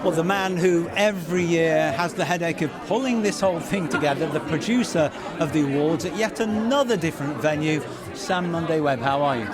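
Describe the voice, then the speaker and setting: A man hosts a podcast from a red carpet, upbeat and gushing as he leads the interview. His voice sits slightly high, and a loud crowd buzzes around him the whole time. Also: fairly quick.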